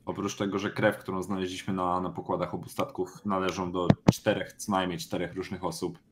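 Man, low voice, casual in-character player talk, faint noise, clicks. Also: flat delivery, fairly quick. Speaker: tabletop role-playing player.

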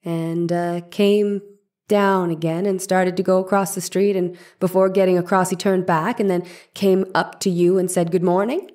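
A methodical, formal courtroom lawyer from an 1890s trial drama, played by a woman. The voice sits on the low side and presses each question with careful, exacting persistence. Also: fairly even intonation, moderate pace, darkish tone, lightly acted. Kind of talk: dramatic reading of a trial transcript.

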